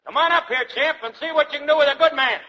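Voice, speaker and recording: A man's voice: extremely high pitch, quick pace. An actor playing a jovial, boisterous trucking boss who likes a drink, talking slangily in 1940s radio style and laughing at his own jokes. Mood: shouting. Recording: muffled, noisy 1940s radio recording.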